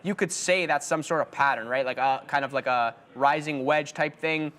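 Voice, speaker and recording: Chill day trader dude rambling about his trades, lots of ums, kinda high voice. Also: normal speed, a bit bright. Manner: laid-back, rambling, lots of fillers. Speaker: day trader on a live show. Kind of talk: live trading commentary.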